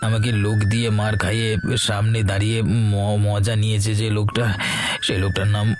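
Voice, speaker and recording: Man playing a humble, low-voiced honest villager. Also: level tone, a little fast, music in the background, natural voice. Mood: hurt, secretive. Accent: West Bengal. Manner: deferential, hesitant. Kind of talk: cartoon voice acting.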